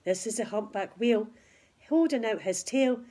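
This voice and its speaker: Expressive woman reading a children's story. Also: a little high, normal speed. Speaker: teacher reading a picture book aloud.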